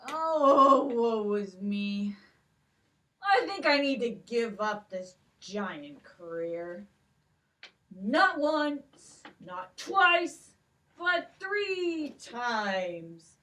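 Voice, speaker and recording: A woman voicing a sleepy, boastful male giant in a children's puppet show, loud and blustering. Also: a little high, sing-song, extremely slow, recorded from a distance, full character voice. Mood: self-pitying. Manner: loud, blustering, self-pitying. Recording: voice from off the mic.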